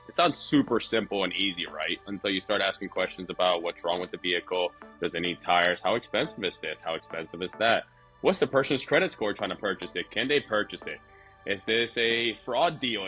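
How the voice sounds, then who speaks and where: A man's voice: lowish voice, a bit bright, rough recording. A man from Venezuela with a Venezuelan Spanish accent, a guest talking casually on a podcast and rambling with lots of fillers and restarts. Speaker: guest, truck dealership owner.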